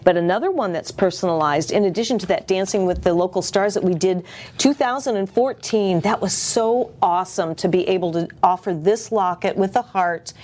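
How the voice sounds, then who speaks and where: A woman hosts a relaxed studio talk show. Her big voice is bubbly and animated, and she chats freely without a script. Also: medium pitch, brisk. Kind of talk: casual unscripted show chat.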